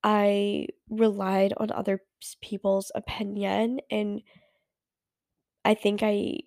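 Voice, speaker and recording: A woman's voice: medium pitch, completely flat delivery, slow, gravelly, darkish tone, no room sound, clean studio sound. Stuffy-voiced teenage girl podcaster. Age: teens. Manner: rambling, many fillers and restarts. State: stuffy from a cold. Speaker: podcast host. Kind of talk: casual solo podcast chat.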